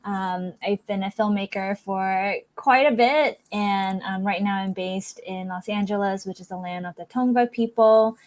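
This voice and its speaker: A woman's voice: medium pitch, fairly even intonation, measured pace, hardly any pauses. A woman from Singapore giving a filmmaking presentation over a video call, upbeat and sprinkled with ums. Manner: upbeat, many ums, talks through slides. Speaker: guest speaker, filmmaker. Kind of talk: presentation to online audience.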